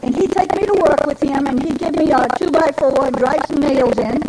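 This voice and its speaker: High-voiced older woman telling a folksy story. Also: normal speed, very noisy. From Rhode Island, New England. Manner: folksy, chatty storyteller with gentle humor. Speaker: Al-Anon member giving a talk. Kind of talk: personal recovery story told to a meeting. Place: speaking to a gathering.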